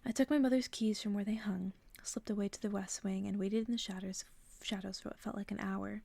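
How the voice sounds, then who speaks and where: A woman reading a bedtime story as ASMR in a soft, breathy whisper, hushed and gentle. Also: mid-range voice, fairly even intonation, a little fast, no echo. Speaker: ASMR creator reading stories aloud. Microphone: close-up microphone.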